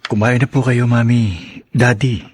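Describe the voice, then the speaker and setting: A man's voice: mid-range voice, steady tone, a little slow, darkish tone, dry recording, clean studio sound, close to an everyday voice. A man playing a dutiful adult son in an audio drama, speaking respectfully and earnestly. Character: dutiful adult son devoted to his parents.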